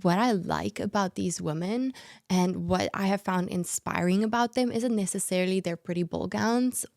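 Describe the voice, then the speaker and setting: A young woman from South Africa whose first language is Afrikaans, speaking with a South African accent and chatting easily in a podcast interview, full of fillers and quick laughs. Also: mid-range voice, vocal fry, a little mellow, no room sound, studio quality. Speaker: guest, former pageant winner. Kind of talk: podcast interview, casual chat.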